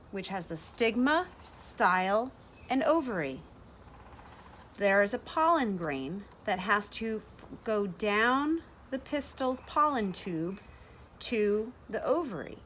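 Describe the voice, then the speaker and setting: Expressive woman reading a children's book, lively pitch. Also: a little hiss or hum. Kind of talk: read-aloud of a rhyming children's picture book. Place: small sunroom with large windows, indoors.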